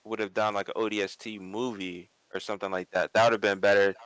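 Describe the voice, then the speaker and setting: A man with a fairly deep, clean voice in a very dry recording. He argues casually as a panelist, leaning on repetition to drive his opinions home. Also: level tone, quick pace, a bit bright, clean tone, no room sound, poor audio. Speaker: panelist on an online pop culture show. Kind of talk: casual opinionated group discussion.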